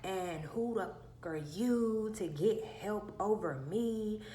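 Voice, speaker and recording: A furious adult sister who curses and shouts, as a woman puts her on, her voice high and loud and stretched out slowly. It sounds recorded in an echoey room. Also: a little hiss or hum, lightly acted. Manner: shouting angrily, drawn out. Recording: roomy echo.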